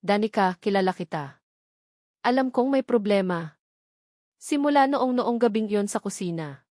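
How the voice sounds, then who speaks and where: Woman plays troubled young man in a romance audio drama, soft and imploring, dry recording. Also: mid-range voice, expressive, normal speed, no echo, close to an everyday voice. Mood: pleading, hurt. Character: earnest, troubled young man pleading with his sister.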